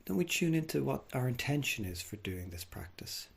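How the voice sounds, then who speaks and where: A man leading a guided body scan meditation, speaking gently and without hurry, leaving long pauses. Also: mid-range voice, very animated, creaky, slightly rough. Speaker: mindfulness meditation teacher.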